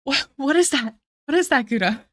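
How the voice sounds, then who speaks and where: A woman's voice: high-pitched, lively intonation, moderate pace. A young woman chatting casually with viewers while drawing on a live stream, giggly and self-deprecating.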